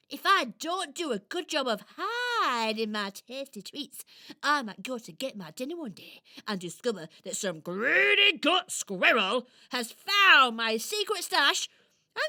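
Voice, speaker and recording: A high, bright and hugely animated girl squirrel for a children's radio play. She is playful and boastful, drawing her words out slowly for theatrical effect with giggly exuberance. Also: heavily acted. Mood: dramatic explaining.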